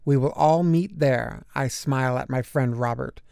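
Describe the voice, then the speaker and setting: A man narrating a children's picture book aloud, with deliberate, expressive phrasing for young listeners. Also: medium pitch, creaky, smooth, no echo, studio quality. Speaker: storybook narrator.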